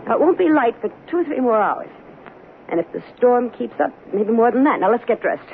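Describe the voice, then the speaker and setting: Through a tinny, noisy old radio broadcast comes a high, swooping voice: a scheming wife. She is brisk and insistent, coolly commanding and persuasive in classic radio-drama style. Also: expressive, creaky. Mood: urgent, commanding. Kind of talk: 1970s radio drama acting.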